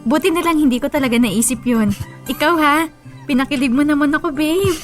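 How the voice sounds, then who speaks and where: A woman's voice: sits high, brisk, natural voice. An actress with a Filipino accent playing a sweet, affectionate young girlfriend in a radio drama. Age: young adult. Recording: music bed behind voice.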